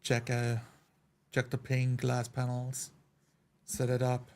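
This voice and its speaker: A man's voice: medium pitch, slow, clear, resonant tone. A man playing a dwarf-like character in a tabletop roleplay, plainly describing his actions. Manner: flat, matter-of-fact action descriptions. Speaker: player.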